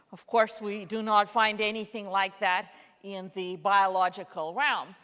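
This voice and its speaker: A woman's voice: on the high side, fairly bright tone. A woman who speaks Russian natively, giving a conference lecture in a hall with many fillers and restarts. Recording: long hall reverb.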